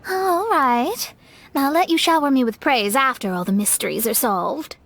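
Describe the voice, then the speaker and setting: Proud, high-voiced young astrologer. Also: female voice, normal speed, fairly bright tone. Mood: smug. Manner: haughty, self-assured. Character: proud, easily flustered young female astrologer genius. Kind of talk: video game voice acting.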